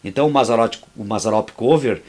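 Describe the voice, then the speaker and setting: A man's voice: mid-range voice, fast talker, darkish tone. A man, a filmmaker and actor, giving outspoken and opinionated commentary on how his film was made, talking freely with restarts. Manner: restarts and repeats words while talking freely. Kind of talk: commentary over a making-of.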